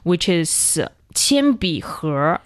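A woman's voice: lowish voice, sing-song, drawn-out words, no echo, studio quality. A woman from China whose first language is Chinese, hosting a language lesson as casual chat, explaining words patiently and laughing easily. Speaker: host and language teacher.

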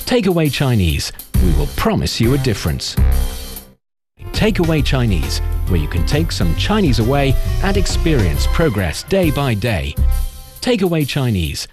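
A man voicing a scripted promotional announcement in an upbeat selling tone. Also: mid-range voice. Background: music.

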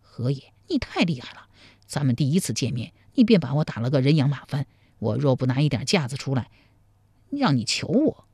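A woman's voice: very deep voice, sing-song, brisk, a little mellow, slightly rough, no echo, pitched below the performer's own voice, heavily acted. A woman voicing a sly, teasing young suitor in a storybook, wheedling and mock-meek. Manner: teasing, wheedling, laid-back. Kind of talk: dramatized storybook dialogue.

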